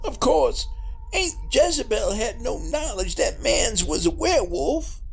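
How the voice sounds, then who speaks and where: A woman's voice: fairly high pitch, very animated, a little slow. A woman playing an earnest, naive hillbilly sidekick with a rural Southern accent and ungrammatical folksy speech in a comedy audio drama.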